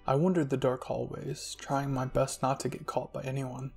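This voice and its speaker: A man narrating a scripted fan fiction story in a soft ASMR style as a channel host, with music behind him. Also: mid-range voice, level tone, moderate pace, vocal fry.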